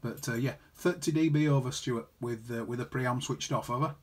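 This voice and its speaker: A man's voice: medium pitch, normal speed, heavy background noise. A man chatting casually as an amateur radio operator, reading out callsigns and figures. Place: indoor room beside a desktop transceiver.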